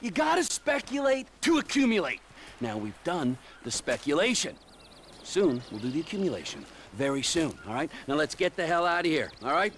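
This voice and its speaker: An actor playing an erratic, menacing drug dealer in a video game, sarcastic and halting, repeating himself for emphasis. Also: male voice, on the high side, sing-song, fairly bright tone, slight background noise. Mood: mock gratitude. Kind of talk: video game cutscene acting.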